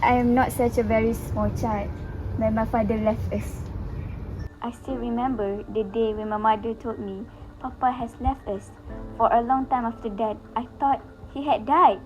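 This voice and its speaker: Woman playing a high-voiced grieving son, music behind. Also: steady tone, normal speed, natural voice, poor audio. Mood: sorrowful. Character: grieving, tormented son Heinrich mourning his mother. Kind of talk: stage play dialogue.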